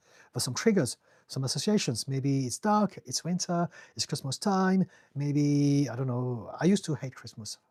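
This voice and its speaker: A middle-aged man from France with a French accent, calmly reading viewer questions and answering them as a therapist on a live stream. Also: mid-range voice, no echo. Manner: calm, reassuring, reads out viewer comments with light laughs. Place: home in the UK.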